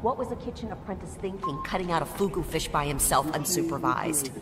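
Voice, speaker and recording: An actress playing a sympathetic, opinionated hospital coworker in conversational, questioning game dialogue. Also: female voice, low voice, animated, fairly quick, distant mic. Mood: concerned. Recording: loud music over dialogue.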